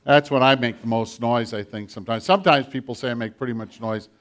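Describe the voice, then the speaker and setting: An older man preaching a sermon with a children's message, animated and storytelling with a big voice. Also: mid-range voice, fast talker.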